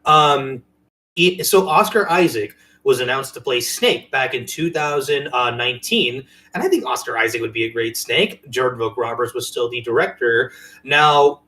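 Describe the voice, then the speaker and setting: A man's voice: mid-range voice, pushed and bright. Man casually hosting a podcast. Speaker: podcast host. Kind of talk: casual podcast chat about games and movies.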